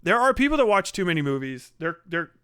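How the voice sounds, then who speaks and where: A man giving profane, casual livestream commentary, rambling and thinking out loud as he goes. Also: high-pitched, sing-song, quick pace, clear, resonant tone, no echo, studio quality. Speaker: live streamer reacting to videos.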